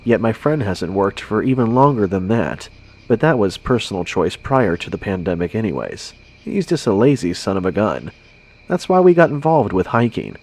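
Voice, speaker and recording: A man's voice: lowish voice, darkish tone, slightly rough. A man reading a first-person scary story in a conversational narration style.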